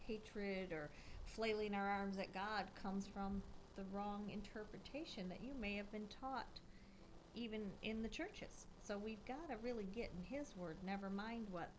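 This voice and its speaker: A woman's voice: mid-range voice, a little slow, noisy background. Laughing older woman hosting a radio talk. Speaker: radio show host. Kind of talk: casual faith talk on radio.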